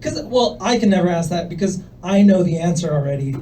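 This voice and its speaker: A young man from El Salvador doing a stand-up set in a comedy club, with a soft voice and a hesitant, deadpan delivery full of fillers. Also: sits high, a little fast, slight background noise. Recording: voice from far off the mic.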